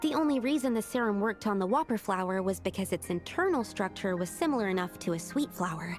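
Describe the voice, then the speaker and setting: A woman voicing a careful young alchemist, thoughtful and measured. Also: mid-range voice, animated, soft background music, lightly acted. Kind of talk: video game dialogue.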